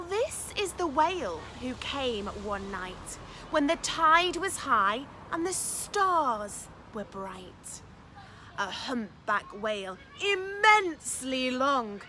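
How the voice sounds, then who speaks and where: A woman teacher reading a storybook to young children in an expressive, encouraging way, with dramatic emphasis and chatty questions to her listeners. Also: high voice, noisy background.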